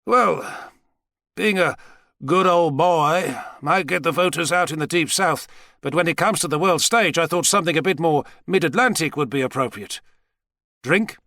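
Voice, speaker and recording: A man's voice: fairly high pitch, normal speed, pushed and bright, no echo, clean studio sound, voice pushed up. A man voicing a brash, megalomaniac president with a boastful, folksy manner in an audiobook thriller. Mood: smug. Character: American president, brash, heavy-set, megalomaniac schemer.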